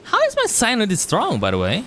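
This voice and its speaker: A man's voice: sits high, wildly swooping pitch, fairly quick, a bit bright, a little hiss or hum. A young man with a non-native English accent chatting casually while streaming a game, rambling and answering his chat.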